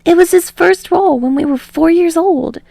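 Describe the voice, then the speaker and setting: An earnest, soft-hearted young man, as a woman reader puts him on, pitched high above the narration. He sounds eager and helpful in a dry audiobook recording. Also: normal speed, vocal fry, dry recording.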